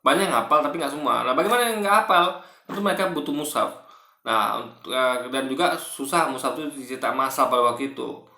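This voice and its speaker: A man giving casual spoken commentary in a halting, repetitive way, often correcting himself. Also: mid-range voice, gravelly, sharp tone, a little hiss or hum. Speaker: video commentator. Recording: echoey room.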